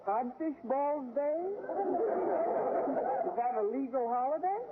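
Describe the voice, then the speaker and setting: Tinny, noisy old-radio wisecracking schoolteacher. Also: female voice, high voice, slow pace, over a noisy crowd. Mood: dry, sarcastic. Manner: deadpan, dry wisecracking delivery. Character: wry, sarcastic single woman schoolteacher with dry wisecracks. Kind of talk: 1940s-50s radio sitcom acting and narration. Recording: noisy, tinny vintage radio broadcast recording.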